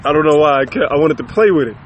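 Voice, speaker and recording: A man's voice: on the high side, expressive, rapid-fire, lo-fi sound, slight background noise. A man in his late twenties co-hosting a podcast in casual chat, laid-back and slangy, often ending lines with "man". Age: 20s. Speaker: podcast co-host.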